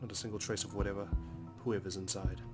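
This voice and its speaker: A man doing a Let's Play, dramatically reading visual novel narration in a serious, measured storytelling manner. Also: lowish voice, steady tone. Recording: loud music behind the voice. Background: game soundtrack music.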